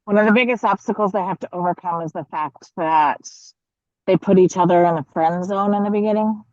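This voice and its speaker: A woman from the United States giving casual interview answers as a guest author, halting as she thinks aloud. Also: fairly low pitch, darkish tone, no echo, dull audio.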